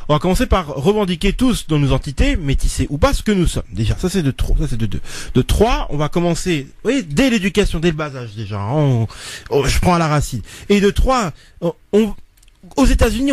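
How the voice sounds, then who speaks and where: A man with a high, very lively voice, heard dry and close in a studio. He argues emphatically, ticking off his points one after another. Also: quick pace, dry recording. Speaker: debate guest. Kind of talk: studio debate.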